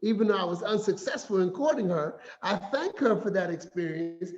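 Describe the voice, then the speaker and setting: A man giving a finance talk as an animated, playful storyteller who acts out quotes. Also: sits high, moderate pace. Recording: echoey room, slightly dull sound.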